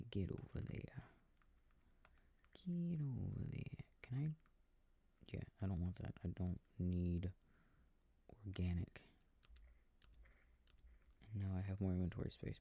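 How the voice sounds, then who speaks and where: A man speaking softly and breathily, often near a whisper, quietly musing to himself in an ASMR sleep-aid style while playing a video game. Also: sits low, gravelly. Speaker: audio roleplay performer. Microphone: close microphone. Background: game controller clicking.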